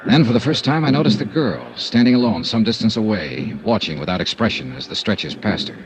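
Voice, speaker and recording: An actor playing a hardboiled American insurance investigator who narrates in first person and talks in a terse, matter-of-fact way, in a 1950s radio drama. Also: male voice, fairly low pitch, expressive, fairly quick, crowd noise, natural voice, rough recording. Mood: steady. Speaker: narrator and lead detective. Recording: old radio transcription with music bed.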